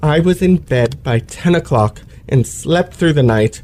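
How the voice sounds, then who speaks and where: A man's voice: on the high side, expressive, unhurried, darkish tone. A man playing a pompous, self-pitying male lecturer with florid, precise elocution in an old-fashioned radio play. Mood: indignant.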